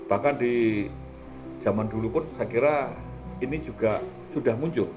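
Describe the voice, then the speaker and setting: A man's voice: medium pitch, moderate pace. Chatty Javanese-accented man over music. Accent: Javanese-accented Indonesian. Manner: chatty, peppers talk with colloquial Javanese words and tag questions. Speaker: host of an art talk show. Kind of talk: casual, rambling discussion.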